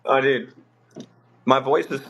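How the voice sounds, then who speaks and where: A young man hosting a livestream, telling stories in a relaxed, rambling way with filler words, his voice a bit rough. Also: mid-range voice, slow pace, slight background noise. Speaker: livestream host. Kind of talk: casual livestream chat.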